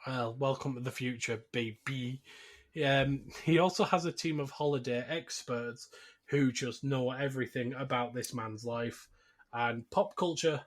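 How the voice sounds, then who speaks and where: A man's voice: mid-range voice, a little slow. A man hosting a podcast, casually recapping a film in a jokey, conversational way. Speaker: podcast host.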